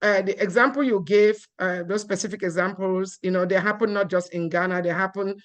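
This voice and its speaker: A woman's voice: mid-range voice, fairly even intonation, brisk. A woman from Cameroon giving an earnest seminar talk, with frequent fillers and restarts. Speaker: guest speaker.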